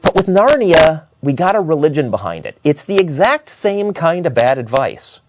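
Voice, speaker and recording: Man, animated intonation, halting argumentative commentary, talk show host, clear background noise with clicks. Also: on the high side. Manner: halting, self-correcting, argumentative. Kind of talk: unscripted opinion commentary to viewers.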